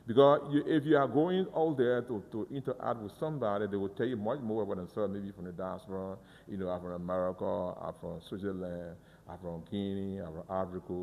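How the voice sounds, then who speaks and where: A man explains a museum in an earnest, halting way, often stumbling over and restarting his words. His voice is low-pitched and mellow. A long reverb from the museum hall trails behind him. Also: fairly even intonation, slight background noise. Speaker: museum guide. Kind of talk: guided tour interview.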